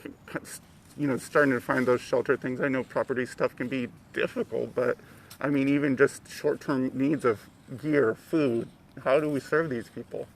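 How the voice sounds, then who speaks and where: Gravelly American man asking questions. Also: medium pitch, steady tone, normal speed, a little hiss or hum, low-quality recording. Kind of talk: casual community discussion.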